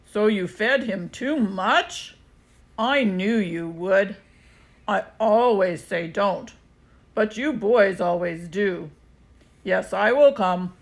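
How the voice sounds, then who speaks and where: A woman's voice: mid-range voice, slow pace. A woman voicing a resigned, chiding man in a children's storybook, speaking emphatically. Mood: resigned scolding. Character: scolding, resigned adult man, a fish seller. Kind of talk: children's storybook read-aloud.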